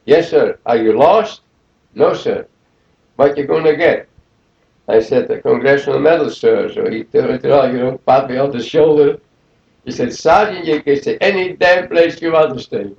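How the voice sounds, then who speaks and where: A man, recalling the past in a rambling, halting way full of fillers in an oral history interview. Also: medium pitch, creaky, a little mellow. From Netherlands. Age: 80s. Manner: rambling, halting, many fillers like "you see". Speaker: interviewee, war veteran. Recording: roomy lo-fi 1980 tape.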